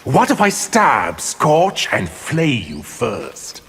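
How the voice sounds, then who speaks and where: An actor voicing a cold, scheming, arrogant sorcerer in a video game, haughty and deliberate. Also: male voice, mid-range voice, wildly swooping pitch, fairly bright tone, slight background noise, exaggerated voice. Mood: menacing. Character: cold, scheming, arrogant male sorcerer, power-hungry villain. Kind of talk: video game villain dialogue.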